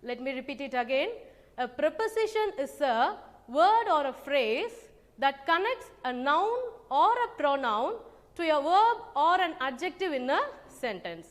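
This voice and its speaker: A woman teaching a grammar lesson to children, explaining slowly and carefully. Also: sits high, very animated, big-room sound. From South India. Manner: slow, careful teacherly explaining, sings simple rhymes. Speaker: English teacher.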